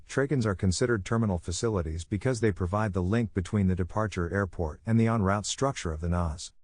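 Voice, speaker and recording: Low-voiced man narrating a technical handbook. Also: darkish tone, no room sound, clean studio sound. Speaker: audiobook narrator.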